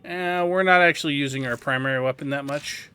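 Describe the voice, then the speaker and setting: A man's voice: on the high side, brisk, bright, forceful tone, a little hiss or hum. A man giving a casual game walkthrough, explaining things as he goes and thinking aloud. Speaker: gameplay guide host.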